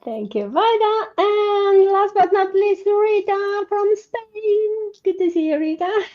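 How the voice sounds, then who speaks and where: A woman's voice: very high-pitched, animated, slow. Romanian-accented woman hosting, slightly muffled sound. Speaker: host. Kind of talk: informal livestream hosting. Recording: muffled, band-limited online stream audio.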